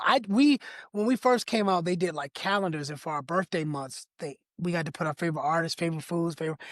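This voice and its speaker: A raspy man with a fairly high speaking voice chats as a podcast guest. He talks emphatically and warmly about singers, building up his praise. The sound is close and dry, with no room around him. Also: dry recording. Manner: effusive, emphatic storyteller. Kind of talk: casual podcast conversation.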